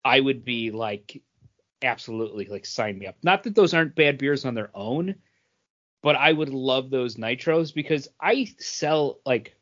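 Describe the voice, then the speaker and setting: A man's voice: medium pitch, animated, unhurried, fairly bright tone. A man co-hosting a podcast, giving opinionated but qualified takes in a casual, conversational way. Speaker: podcast co-host. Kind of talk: casual beer review and chat. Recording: dull, band-limited audio.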